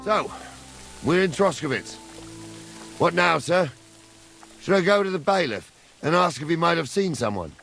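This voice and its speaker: An actor playing a weary, gruff ageing medieval soldier with a gravelly voice, grumbling but deferential, in a video game. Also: male voice, on the high side, lively intonation, normal speed, pauses often, fairly bright tone, faint music behind. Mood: resigned. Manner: plain-spoken, deferential grumbling.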